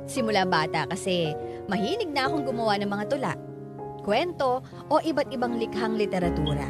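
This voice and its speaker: A woman's voice: mid-range voice, close to an everyday voice. An actress playing a sorrowful Filipino woman in her twenties, narrating her own story as a dramatic radio letter. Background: loud background music.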